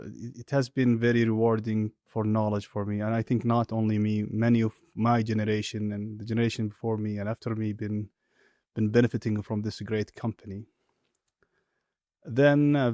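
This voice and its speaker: A middle-aged man answering interview questions in a measured, deliberate way with frequent filler pauses. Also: on the low side, dark tone, dry recording, studio quality. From Saudi Arabia. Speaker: interview guest, executive.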